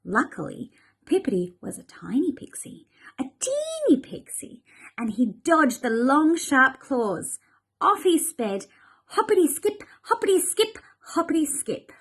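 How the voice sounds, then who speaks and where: A female teacher reading a children's story aloud with expressive storybook narration. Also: sits high, a little slow.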